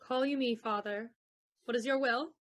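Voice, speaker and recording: A woman's voice: fairly high pitch, fairly even intonation, moderate pace, a bit bright, natural voice. A woman playing a dutiful but secretly rebellious young daughter in love in a Shakespearean audio play.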